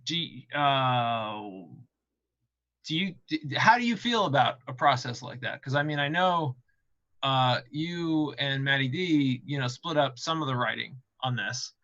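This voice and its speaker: A man's voice: mid-range voice, expressive, a little slow, bright, forceful tone, no echo, lo-fi sound. A man hosting a casual unscripted interview, feeling his way into questions and rephrasing them as he goes. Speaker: host interviewing a colleague.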